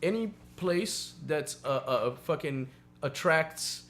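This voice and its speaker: A man with a somewhat high-pitched voice co-hosts a loose radio talk show. He speaks casually and with strong opinions, leaning on sarcasm and swearing as he makes his points. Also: expressive, takes it slowly, a bit bright. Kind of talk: casual political rant and chat.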